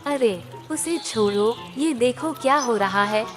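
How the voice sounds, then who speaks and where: Farmer's wife female actor, story cartoon. Higher voice, unhurried pace, practical, expressive and given to complaint. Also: soft background music. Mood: alarmed. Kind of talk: animated Hindi moral-story voice acting.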